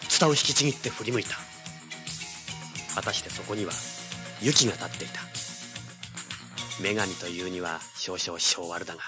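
A man's voice: low voice, animated, moderate pace, long pauses. An actor playing a cocky, hard-boiled young treasure hunter, telling his story as dramatic audio drama narration. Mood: tense narration. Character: brash, cool-headed young male treasure hunter, action hero. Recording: dramatic music under the voice. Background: dramatic background music.

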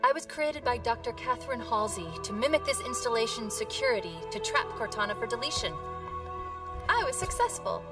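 A woman voicing a female AI construct who speaks in a calm, precise, clinical way. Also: fairly high pitch, fairly quick, far from the mic, natural voice. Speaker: AI companion. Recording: game audio with music, off-mic.

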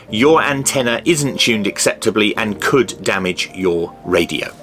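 A man's voice: lowish voice, fairly bright tone. A middle-aged man presenting a casual hobby tutorial, explaining step by step in a hesitant way.

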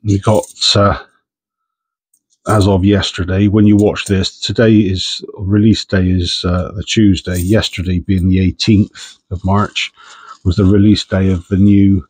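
A man's voice: sits low, little pitch movement, unhurried, darkish tone, husky, dry recording. A man with a head cold, sniffing as he chats casually while sorting through coins for his channel. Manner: chatty, understated, self-deprecating. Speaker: coin-hunting channel host.

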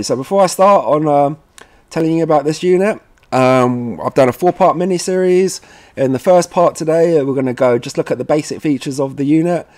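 A man's voice: medium pitch, a little mellow. A man presenting an informal instructional setup video, talking the viewer through it step by step and addressing them as guys. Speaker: presenter of product setup tutorials.

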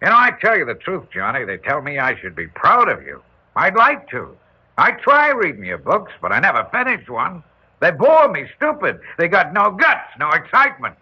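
An actor playing a tough, blustering older businessman father in an old-time radio drama, blunt, rough and slangy in speech. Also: a little high, huge pitch swings, normal speed, heavily acted. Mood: gruff, scornful. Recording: muffled, noisy old radio recording.

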